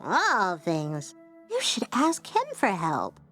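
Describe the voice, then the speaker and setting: A woman voicing a quirky, excitable, scatterbrained know-it-all in a video game, rambling with exaggerated emphasis. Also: fairly low pitch, takes it slowly, faint music behind, heavily acted. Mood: cheerful, sheepish. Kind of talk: video game character acting.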